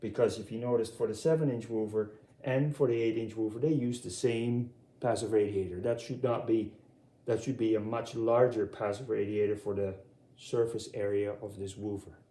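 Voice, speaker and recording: A middle-aged man from Europe giving a casual, unscripted walkthrough as a hobbyist, speaking haltingly and repeating words. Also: lowish voice, fairly even intonation, warm tone. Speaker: audio hobbyist presenting his speaker collection. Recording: echoey room sound.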